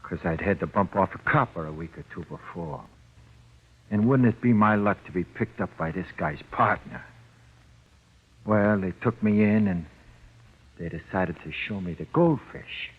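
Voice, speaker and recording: Cocky, slangy small-time crook, muffled. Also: male voice, low voice, a little slow, slightly rough. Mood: cocky, confiding. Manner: slangy, ungrammatical tough-guy talk. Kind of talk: 1940s radio crime drama acting. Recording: muffled 1940s radio recording.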